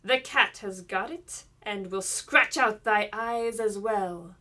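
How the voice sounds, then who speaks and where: A woman voicing a wicked old enchantress, loud, mocking and venomous. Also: mid-range voice, slow pace.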